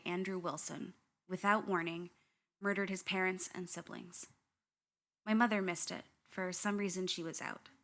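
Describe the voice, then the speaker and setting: A woman's voice: medium pitch, fairly bright tone, close to an everyday voice. A young woman playing a candid, wry daughter haunted by family tragedy, narrating a radio drama. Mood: reflective, sombre. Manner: reflective, wry. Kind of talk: first-person scripted narration.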